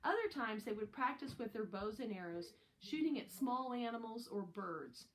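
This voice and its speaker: A woman's voice: fairly high pitch, animated, normal speed, poor audio. A woman narrating a children's novel aloud as a scripted reading. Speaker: audiobook reader.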